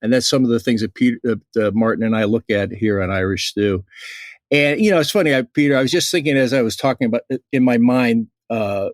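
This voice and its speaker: A man hosting a podcast interview, thinking aloud in a halting way with many fillers. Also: medium pitch, dry recording. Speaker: podcast host. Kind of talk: conversational interview.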